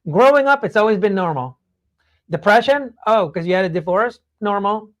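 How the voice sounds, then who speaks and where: A co-host in a casual, opinionated chat, speaking bluntly and haltingly, often checking in mid-thought and swearing casually. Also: medium pitch, animated. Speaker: talk show co-host.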